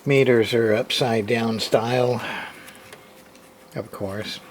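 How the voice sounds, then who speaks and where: A man giving a casual, unscripted show-and-tell of equipment in a halting, matter-of-fact way, as if muttering to himself. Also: mid-range voice, fairly even intonation. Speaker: hobbyist radio repairer, video host. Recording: clearly noisy amateur recording.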